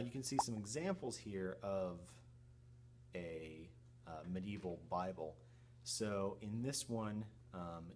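A middle-aged man gives a recorded history lesson in a very low-pitched voice. He explains things informally and a little haltingly, like a teacher going through slides. A noticeable hum sits behind his speech. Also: a little mellow. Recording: clear background noise with hum.

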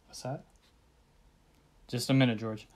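A man's voice: mid-range voice, fairly even intonation, measured pace, natural voice. A man playing a cold, businesslike middleman arranging a crime by phone in a radio thriller. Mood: cold, careful. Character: cold, orderly middleman planning a murder by phone. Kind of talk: old-time radio thriller acting.

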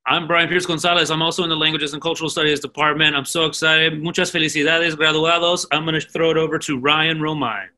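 A man's voice: fairly high pitch, fairly even intonation, quick pace, hardly any pauses, sharp tone. A man co-hosting a livestream with enthusiastic, casual live commentary. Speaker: livestream co-host, language faculty member.

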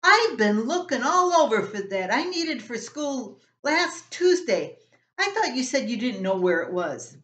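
A woman's voice: on the high side, very animated, unhurried, a bit bright, roomy sound, voice pushed up. An older woman voicing an angry, bossy teenage older brother in a family story, scolding and bellowing.

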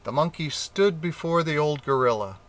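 A man reading a children's picture book aloud, slowly and expressively. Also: on the high side. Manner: slow, expressive reading with character voices. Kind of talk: storybook read-aloud.

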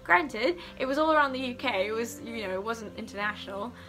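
A woman's voice: on the high side, lively intonation, brisk, sharp tone. A young woman vlogging casually from her living room, talking in an informal, candid, rambling way. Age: young adult. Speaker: student vlogger. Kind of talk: casual vlog chat to camera. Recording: music playing behind. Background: music.

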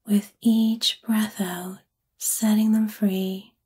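A woman with a soft, breathy, whispery voice gently and soothingly guides a sleep meditation in an ASMR-like style. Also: mid-range voice, little pitch movement. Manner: gentle, soothing, unhurried phrasing.

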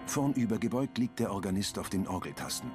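A male narrator reads scripted scene descriptions for a TV series. His voice is fairly low, and music plays clearly behind him. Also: brisk.

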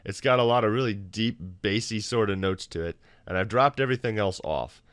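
A man with a fairly low voice explains things casually, as in a homemade screen tutorial. He is informal and self-deprecating, recorded close and dry in a home office. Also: a little fast, a bit bright, dry recording. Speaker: tutorial host.

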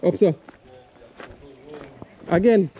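A man's voice: sits high, drawn-out words, background noise. A Jamaican man talking casually in patois as he walks through a flooded neighbourhood and questions the locals. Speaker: vlogger touring a flooded area. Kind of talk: casual walk-and-talk vlog.

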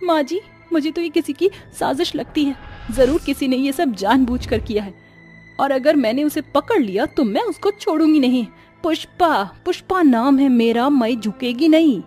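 A woman's voice: high voice, expressive, normal speed, a little mellow, faint music behind, pitched above the performer's own voice. A woman voicing a bold, dramatic young daughter-in-law in a cartoon story, with exclamatory, theatrical delivery. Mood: defiant. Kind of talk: Hindi cartoon story acting.

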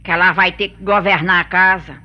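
A woman's voice: fairly low pitch, steady tone, fairly quick, lo-fi sound, natural voice. An actress playing a humble, practical country mother in a radio soap, folksy, with a Brazilian accent. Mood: homely. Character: homely rustic mother, humble, practical, teasing wife. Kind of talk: radio soap opera acting.

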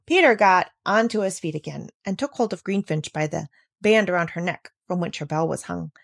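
A woman reading a classic children's novel aloud in a steady, clear storytelling manner. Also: medium pitch, dry recording, studio quality. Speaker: reader. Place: at a table with a book.

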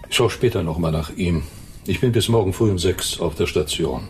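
An actor in a German radio play, playing a calm, reassuring hospital doctor on night shift. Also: male voice, low-pitched, fairly quick, slight background noise. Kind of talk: German radio drama acting.

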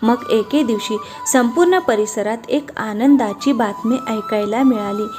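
A woman's voice: on the high side, measured pace, over music. A woman narrating a scripted moral story as its storyteller.

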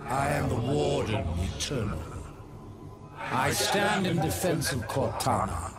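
An actor playing an ancient, menacing mechanical guardian who talks slowly and grandly, taunting his foe in a video game. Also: medium pitch, big pitch swings, over loud music.

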